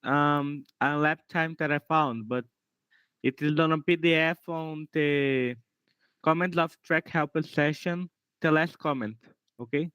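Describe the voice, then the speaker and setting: A man from Brazil, a guest driver on a video call, speaking hesitantly with pauses. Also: fairly high pitch, fairly even intonation, fairly bright tone, clean tone, no room sound, lo-fi sound. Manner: hesitant, halting.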